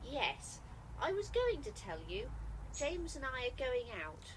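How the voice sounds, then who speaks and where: Woman acting a mild, high-voiced British clubwoman. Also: wildly swooping pitch, measured pace, a bit bright, full character voice, low-quality recording. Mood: modest, matter-of-fact. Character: mild, modest tennis club woman, polite and discreet. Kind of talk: amateur radio comedy acting. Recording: faint background noise, home recording.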